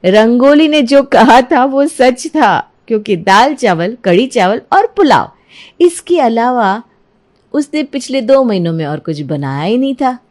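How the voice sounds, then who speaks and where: Casting: female storyteller for cartoon moral tales, fairly high voice, scripted storybook delivery. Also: expressive, a little slow, darkish tone.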